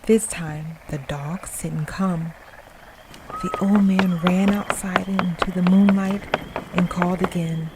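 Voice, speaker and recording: A woman storyteller narrating a ghost story in a suspenseful, expressive, dramatic style. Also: on the low side, slow pace, background noise. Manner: suspenseful, expressive, dropping to breathy hush. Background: music.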